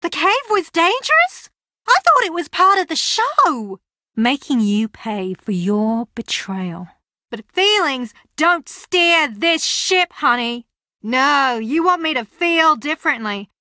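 A woman's voice: high voice, sing-song, takes it slowly, bright, forceful tone, no room sound, studio quality, heavily acted. A woman voicing a scheming, theatrical young female reality-show contestant in a video game, sassy, boastful and combative. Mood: haughty, defiant.

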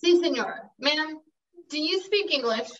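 A woman's voice: high voice, lively intonation, normal speed, a bit bright. A woman playing a deferential, polite assistant to a director, on a webcam in a room with a wooden door. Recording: distant, dull webcam sound.

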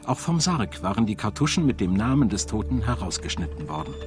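A man narrating a scripted documentary in a measured, solemn storytelling manner. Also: on the low side, big pitch swings. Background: background music.

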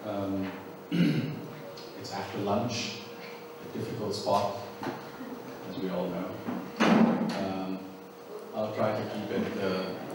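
A man in his forties with a fairly loud voice, giving a relaxed, conversational conference talk to a small group and filling pauses with ums and uhs. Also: on the low side. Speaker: conference presenter. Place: small conference room with long tables. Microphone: far camera, speaking without a microphone. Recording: echoey small room from far off, noisy.

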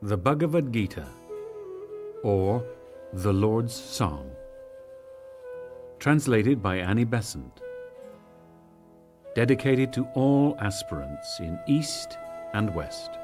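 A man's voice: lowish voice, big pitch swings, slow pace, pauses often, over music. A man giving a solemn, declamatory dramatic reading of ancient sacred verse as an audiobook narrator.

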